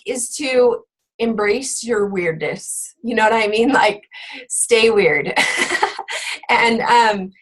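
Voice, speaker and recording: A woman hosting a book club, a bit hoarse from being sick, chatting casually with lots of fillers. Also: a little high. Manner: chatty, with frequent fillers and laughs. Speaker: fitness coach and book club host.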